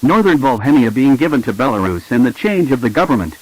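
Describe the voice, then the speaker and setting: A man's voice: medium pitch, very animated, fast talker, a little mellow, poor audio. A man reading a scripted emergency broadcast announcement in a formal, impersonal way.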